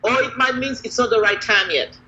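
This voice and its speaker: A woman's voice: a little high, fairly quick, pushed and bright, lo-fi sound, slight background noise. A woman hosting a casual live video chat, affirming her guest with frequent "mm-hmm" and "okay". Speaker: host.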